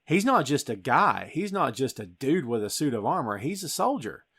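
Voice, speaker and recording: A man's voice: mid-range voice, sing-song, moderate pace, fairly bright tone, breathy edge. A middle-aged American man hosting a podcast, talking about film in a casual, emphatic, conversational way. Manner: emphatic, conversational, addresses listener as "dude". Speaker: podcast host.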